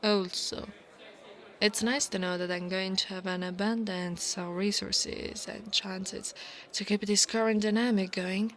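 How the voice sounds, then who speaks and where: Woman acting as domineering dragon woman, college bully, haughty, teasing, secretly insecure, medium pitch, slow drawn-out delivery, ASMR roleplay. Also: steady tone, a bit bright, rough recording. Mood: smug teasing.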